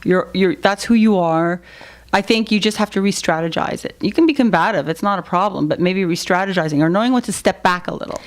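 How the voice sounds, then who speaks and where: A woman co-hosting a casual podcast, agreeing often and giving calm advice. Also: on the low side, a little fast. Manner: agreeable, advice-giving, lots of "right" and "yeah". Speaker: podcast co-host. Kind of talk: casual chat.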